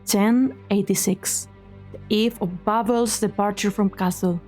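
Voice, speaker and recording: A woman narrates a dramatic story at a slow, measured pace. Her mid-range voice is solemn, with soft music playing behind it. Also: medium pitch, steady tone.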